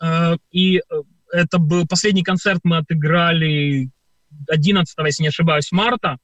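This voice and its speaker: A man from Ukraine talks through his answers in a radio interview, pausing often on filler sounds as he tells his stories. His voice sits fairly high for a man. The recording is dry, with no room sound. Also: steady tone, a bit bright, no room sound, lo-fi sound. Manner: hesitant, many filler sounds, storytelling. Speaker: performer and guest.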